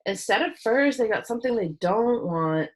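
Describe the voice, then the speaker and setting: A woman voicing a big, tough, protective man in a storybook, calm and wry. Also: fairly high pitch, moderate pace, close to an everyday voice.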